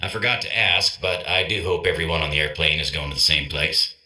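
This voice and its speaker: Really low-voiced pilot announcement, rambling and clueless, sharp bright sound, lots of echo. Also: male voice, fairly quick, full character voice. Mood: casual, clueless. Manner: rambling, plain-worded, unbothered announcing. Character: clueless, casual male airline pilot making announcements. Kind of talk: comic video game character acting.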